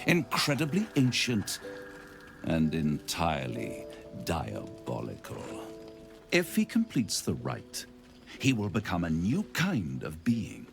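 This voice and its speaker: Deep, silky theatrical British devil. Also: male voice, vocal fry, full character voice. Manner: theatrical, savouring each phrase. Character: suave, gloating male devil, theatrical and silky. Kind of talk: video game villain dialogue.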